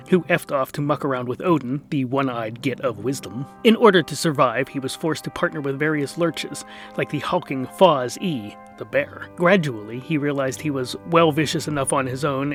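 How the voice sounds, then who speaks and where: A man narrating a scripted comic fantasy story in a dramatic storytelling manner with dry wit. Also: mid-range voice.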